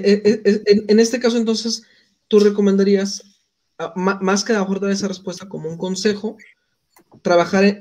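A man's voice: high-pitched, a little mellow. A man hosting a meetup, asking questions hesitantly with stacked fillers and repeats. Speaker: meetup host. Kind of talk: casual interview questions.

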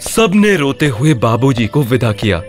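A man narrating a scripted animated horror tale as a storyteller. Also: mid-range voice, unhurried, faint music behind.

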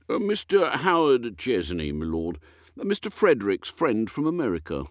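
A man voicing a deferential British butler, formal, measured and precise, in an audiobook. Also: medium pitch, wildly swooping pitch, no room sound, clean studio sound, voice pushed up. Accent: British English. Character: stately, deferential male English butler.

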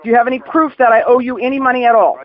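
Caller with a very high-pitched voice, casual and blunt, on dull phone audio. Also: male voice, rapid-fire, no echo. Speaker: call recipient. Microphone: telephone, dry close pickup. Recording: dull, lo-fi phone call recording.

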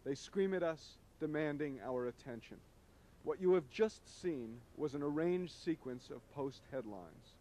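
A middle-aged man delivering scripted commentary to camera on a city street, speaking in solemn, measured, emphatic phrases into a handheld microphone. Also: on the high side, expressive, mellow. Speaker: host, media critic. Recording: noisy old videotape street recording.

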